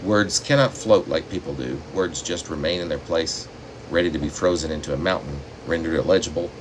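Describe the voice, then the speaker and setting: Man, very low voice, poet's reading, deliberate dramatic phrasing, steady air conditioning hum. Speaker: poet reading own work. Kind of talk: poetry reading with spoken asides.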